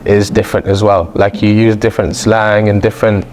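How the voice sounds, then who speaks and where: A man with a fairly low voice and an international British accent, talking as a guest in a relaxed interview. He speaks in loose, easygoing run-on phrases, and a faint hiss sits under his voice. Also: a little fast. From Belgium. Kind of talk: casual interview chat.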